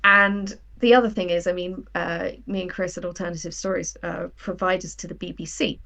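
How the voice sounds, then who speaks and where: A woman's voice: fairly low pitch, fairly even intonation, a little fast, gravelly, bright, forceful tone. A woman, talking as an interview guest in a conversational, enthusiastic, explanatory way. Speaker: guest, audio drama writer and producer.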